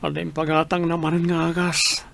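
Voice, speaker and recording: A man's voice: on the high side, flat delivery, moderate pace, creaky, fairly bright tone. A man playing a weary, worried man short of money in an Ilocano radio drama, with a northern Philippine accent. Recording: faint background noise and ambience.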